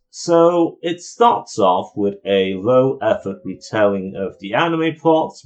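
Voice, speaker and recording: A man giving an opinionated, explanatory video review in an informal commentary style. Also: medium pitch.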